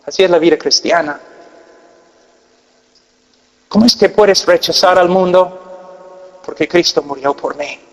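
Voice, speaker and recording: Earnest preacher in a booming hall. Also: male voice. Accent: American, with Peruvian Spanish learned late. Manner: earnest, emphatic, long pauses, drops to quiet intensity. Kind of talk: sermon. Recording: long hall reverb.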